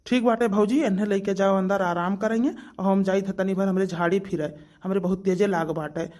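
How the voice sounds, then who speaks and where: Man playing a high-voiced Awadhi village bridegroom. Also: fairly even intonation, a little fast, darkish tone, lightly acted. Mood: urgent, plaintive. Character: young village bridegroom, urgent and plaintive. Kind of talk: cartoon comedy voice acting.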